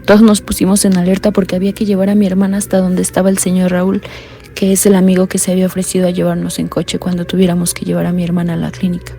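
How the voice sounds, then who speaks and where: An uneasy blind young caller. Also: female voice, monotone, fast talker, gravelly, mellow. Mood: anxious. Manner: low, earnest, uneasy confiding. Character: blind young woman caller telling her story. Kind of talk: radio drama acting.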